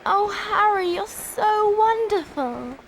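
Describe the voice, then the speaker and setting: A woman's voice: very high-pitched, animated, slow pace, slight background noise, full character voice, low-quality recording. A woman playing an admiring, loyal young witch girl in a video game. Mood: adoring. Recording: music loud behind the voice.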